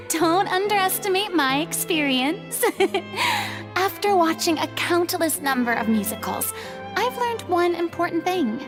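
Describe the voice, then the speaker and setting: A flamboyant young noblewoman with a high, lively voice that swoops up and down. She is self-assured and speaks with grandiose theatrical flair over game music. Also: takes it slowly, full character voice. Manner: grandiose, theatrical, laughing delivery. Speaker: patron of a theater troupe. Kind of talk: video game voice acting. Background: game score music.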